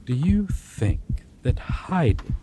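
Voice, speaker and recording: A man voicing a possessive, menacing male vampire in an ASMR roleplay, talking low and teasing and dropping to whispers. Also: sing-song, takes it slowly, background noise. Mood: taunting.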